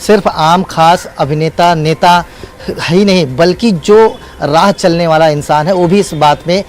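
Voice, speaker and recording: A man's voice: a little high, unhurried, slight background noise. A man reporting live as a news correspondent, speaking unscripted in emphatic, repetitive phrases with hesitant fillers.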